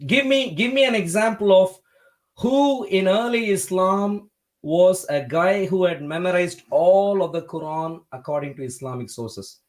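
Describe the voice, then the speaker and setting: A man from India hosting a debate livestream, talking in a measured, polite way with frequent hesitations. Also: high-pitched. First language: not Hindi. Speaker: livestream host.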